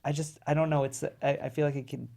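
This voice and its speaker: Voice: medium pitch, steady tone, quick pace, vocal fry, warm tone. A script reader giving casual, tentative feedback in a workshop, often hedging.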